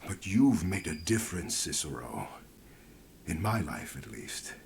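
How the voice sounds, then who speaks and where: A male actor playing a solemn, earnest man in a fantasy video game, speaking in a soft, measured, heartfelt way. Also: low voice, big pitch swings, gravelly, slight background noise, exaggerated voice. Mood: earnest, grateful.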